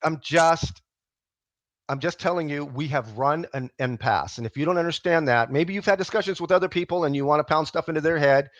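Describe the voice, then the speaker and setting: A man with a loud, booming voice debating on a video call. He is emphatic and repeats himself to close the argument. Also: fairly quick, no room sound. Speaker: atheist debater. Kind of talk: heated casual debate.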